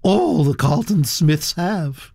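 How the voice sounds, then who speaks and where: A narrator voicing an imperious, gossipy aristocratic older woman in a story, brisk, blunt and disapproving. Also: mid-range voice, expressive, vocal fry, dark tone, husky, dry recording, studio quality, voice pushed up, exaggerated voice. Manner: autocratic, brisk, disapproving, hesitating with uhs.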